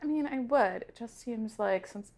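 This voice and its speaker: A woman talking as a player in a tabletop game, deliberate and articulate, with a wry edge as she reasons out her character's choices. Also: a little high, expressive, darkish tone. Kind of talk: casual in-game discussion.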